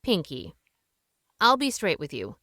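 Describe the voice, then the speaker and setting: A woman playing a calm, shrewd, wry woman asking questions, in an amateur radio play. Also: fairly low pitch, a little slow, no echo, full character voice. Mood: dry.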